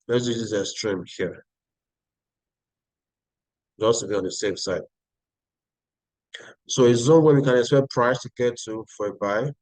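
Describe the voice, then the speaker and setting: A man's voice: fairly low pitch, no room sound, poor audio. A Nigerian-accented man hosting a live trading stream, talking viewers through charts and answering their chat. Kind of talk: live-stream market analysis.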